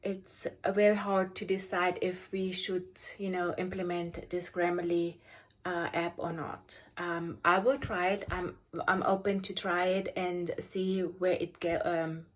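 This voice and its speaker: A special education teacher with a German accent, German as her first language, giving an unscripted app review in a halting way with many ums and restarts. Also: on the low side, level tone.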